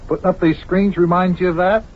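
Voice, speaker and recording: A man's voice: high voice, fairly even intonation, a little fast. An actor playing a good-natured, patient man of the house in an old-time radio show, speaking plainly and mildly. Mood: easygoing, puzzled. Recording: noisy, dull old radio recording.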